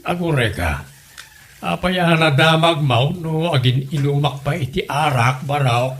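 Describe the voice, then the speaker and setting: A man's voice: a little high, expressive, fairly bright tone. Man acting hesitant old Ilocano father, swooping voice. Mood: hesitant, tipsy. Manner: hesitant, halting delivery. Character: elderly Filipino father-in-law, hesitant, easygoing drinker. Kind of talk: hobby comedy radio drama acting. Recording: noticeable background noise.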